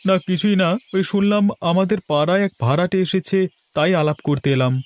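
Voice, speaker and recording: A man's voice: high-pitched, moderate pace, darkish tone, no echo, close to an everyday voice. A man voicing a nosy, chatty male neighbour in cartoon dialogue. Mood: inquisitive, friendly. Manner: inquisitive, chatty.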